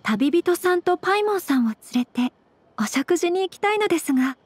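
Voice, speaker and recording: A woman playing a young noble lady in an anime game, elegant and gentle, with formal, courteous speech. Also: very high voice, lively intonation, fairly quick, no echo. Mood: polite.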